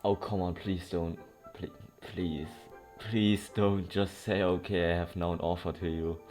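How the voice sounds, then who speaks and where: A man's voice: low-pitched, level tone, a little slow, a little mellow. A man giving casual, unscripted playthrough commentary as a game streamer, sometimes reading dialogue aloud. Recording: clear game music behind voice. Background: game music.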